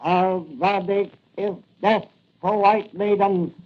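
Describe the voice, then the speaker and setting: An actor playing a fanatical villainous priest in an old radio melodrama, chanting solemn pronouncements in broken English. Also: male voice, high-pitched, level tone, takes it slowly. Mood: menacing, solemn. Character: menacing fanatical masked cult priest, male villain. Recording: muffled vintage radio recording.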